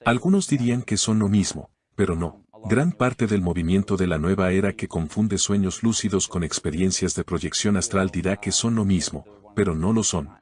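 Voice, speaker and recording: A man from Canada, a guest in an interview, answering questions in a calm, methodical, explanatory way. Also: sits low, moderate pace, few pauses.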